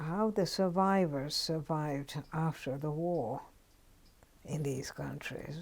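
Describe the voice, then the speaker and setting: An older woman from Finland with a Finnish accent, telling her story in an oral history interview, unhurried and reflective with frequent pauses. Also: low voice, gravelly, a little mellow.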